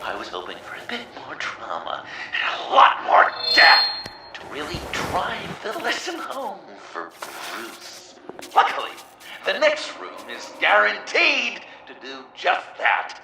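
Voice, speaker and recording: An actor playing a sadistic, theatrical game villain who mocks grandly, moving between whispers and shouts. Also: male voice, fairly high pitch, wildly swooping pitch, measured pace, vocal fry, reverberant, recorded from a distance, slight background noise, exaggerated voice. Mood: gleeful mocking. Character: theatrical, sadistic male villain staging deadly games. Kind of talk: video game villain acting.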